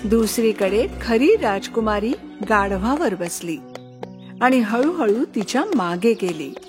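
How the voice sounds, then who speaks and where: High-pitched woman narrating a children's story. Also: expressive, takes it slowly, over music.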